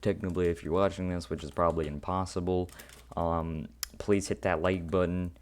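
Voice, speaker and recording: A teenage boy with a deep voice, rambling awkwardly and putting himself down while commenting on his own gameplay. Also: flat delivery, moderate pace, a little mellow. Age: teens. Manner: rambling, self-deprecating, awkward. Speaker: gaming channel host.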